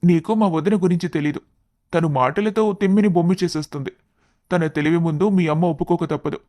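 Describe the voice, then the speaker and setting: A man's voice: on the high side, a little fast, a little mellow, lightly acted. A man playing an earnest young man in love in a story, speaking reassuringly.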